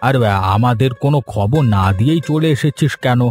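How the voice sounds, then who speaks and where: A man's voice: medium pitch, a little fast, darkish tone, lightly acted. A man playing a stingy old grandfather in a Bengali cartoon, scolding and bossy as he grumbles at his household. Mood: suspicious, stern. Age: older. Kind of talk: Bengali moral-story cartoon dubbing. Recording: faint music behind the voice.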